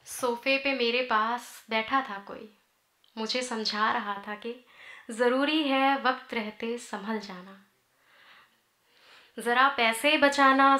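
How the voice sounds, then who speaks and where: Bright-voiced woman reciting poetry, roomy sound. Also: a little high. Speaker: poet. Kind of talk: live poetry recitation, narrative free verse. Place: indoor auditorium stage at a podium. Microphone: gooseneck podium microphone, close. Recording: roomy auditorium sound through PA microphone.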